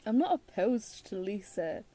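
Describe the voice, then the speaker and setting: A woman's voice: medium pitch, sing-song, unhurried, warm tone. A woman giving casual, wry commentary as she plays through a video game, with muttered asides. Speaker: game streamer. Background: faint game music.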